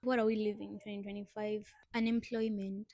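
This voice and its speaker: A woman's voice: medium pitch, flat delivery, a little fast, mellow, dry recording, clean studio sound. A young woman co-hosting a casual podcast chat, reading prompts aloud and laughing often. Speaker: podcast co-host.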